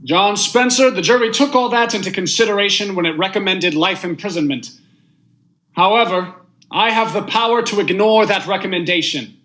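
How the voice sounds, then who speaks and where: A man's voice: sits high, normal speed, fairly bright tone, poor audio. A young man acting a stern judge and narrator in a vintage radio drama, speaking formally and with measure. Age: young adult. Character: stern, unmoved male judge, husband and brooding narrator.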